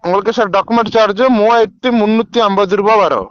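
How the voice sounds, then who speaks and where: High-pitched, fast-talking man on phone. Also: steady tone, no room sound. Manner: repeatedly addresses listener as sir. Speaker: loan agent on a phone call. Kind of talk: phone sales pitch turning argumentative. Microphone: phone call. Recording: dull, lo-fi recorded phone call.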